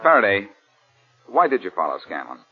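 A clever rogue detective in an old American radio thriller. His low, rough-edged voice moves freely with wry confidence. The recording is muffled with age. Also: huge pitch swings, a little fast, faint music behind, heavily acted. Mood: relieved. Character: wry, quick-witted male rogue detective, cool under pressure. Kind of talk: 1940s radio crime drama acting.

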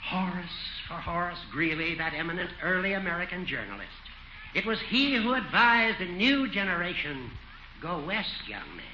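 An actor playing a sly, teasing old judge with a florid, oratorical manner in a 1940s radio comedy. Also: male voice, sits high, sing-song, moderate pace. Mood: grandiose. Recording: muffled, hissy 1940s radio recording.

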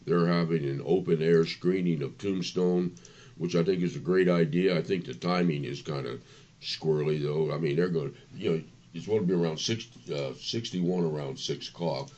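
A man co-hosting a radio show, joking and folksy, with a froggy, hoarse voice. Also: low-pitched, normal speed, darkish tone. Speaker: radio co-host. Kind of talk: casual banter. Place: radio studio. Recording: dull, slightly lo-fi studio mic.

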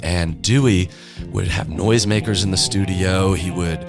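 A man's voice: low voice, normal speed. A man telling stories informally, like a tour guide. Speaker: tour guide and audio engineer. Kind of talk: informal storytelling. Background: guitar music.

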